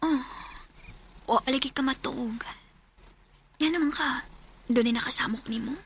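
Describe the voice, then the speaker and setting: High-voiced affectionate young Cebuano mother. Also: unhurried. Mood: tender, drowsy. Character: affectionate young mother, devoted longtime girlfriend. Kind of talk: Filipino radio drama acting. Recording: noisy track with background ambience.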